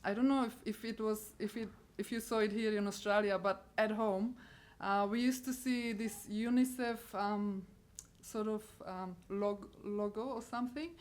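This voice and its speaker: A middle-aged woman with a Czech accent, a missionary, giving a personal testimony to an audience and often pausing to search for words. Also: medium pitch, steady tone, a little mellow. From Czech Republic. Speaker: missionary speaker.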